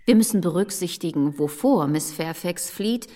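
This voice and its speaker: An actress playing a gentle, fair-minded married gentlewoman in a radio drama, considerate and measured, in a reverberant room. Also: lowish voice, animated, natural voice. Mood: gently reasoning.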